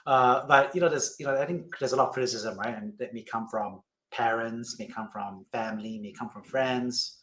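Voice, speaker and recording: A man's voice: mid-range voice, normal speed, hardly any pauses, fairly bright tone, roomy sound. A man hosting a podcast, asking informal, wordy questions in a conversational way with plenty of "you know". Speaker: podcast host. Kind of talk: conversational interviewing.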